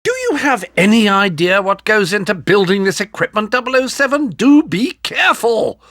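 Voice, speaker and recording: A pompous British spy gadget master for a video game. His voice sits very high and swings animatedly as he scolds. He sounds fussy and exasperated, with a sharp edge. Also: expressive, moderate pace, no room sound, clean studio sound.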